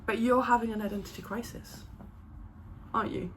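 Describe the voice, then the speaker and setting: A woman's voice: fairly high pitch, fairly even intonation, fairly quick, a little hiss or hum, rough recording. A young woman whose first language is French, chatting casually on her vlog with lots of fillers and restarts. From born in Zimbabwe, raised partly in Paris. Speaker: vlogger. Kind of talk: casual chat.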